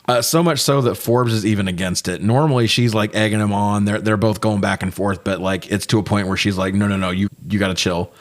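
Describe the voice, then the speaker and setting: A man co-hosting a podcast, chatting casually through a movie recap in a jokey, swear-heavy way that gets animated when he is riled. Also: lowish voice, fairly quick, few pauses. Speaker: podcast co-host.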